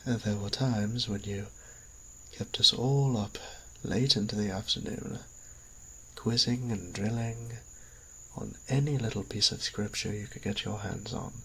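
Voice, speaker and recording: A man playing a kindly, genteel vampire priest in an audio drama, speaking politely and courteously with pauses mid-phrase. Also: on the low side, a bit bright, breathy edge, full character voice, poor audio. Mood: proud, encouraging. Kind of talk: scripted audio roleplay drama.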